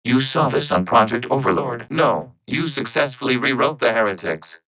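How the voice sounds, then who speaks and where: A man playing a calm, logical robot intelligence in a video game, speaking in a formal, precise, unemotional way through a synthetic filter. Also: mid-range voice, moderate pace, rough recording. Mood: flat, analytical.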